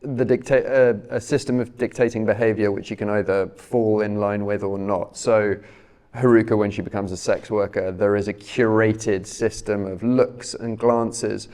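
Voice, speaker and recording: Male interviewer, low gravelly voice, measured, halting phrasing. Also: fairly even intonation, darkish tone. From Britain. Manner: measured, searching for words, with fillers. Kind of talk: onstage author interview.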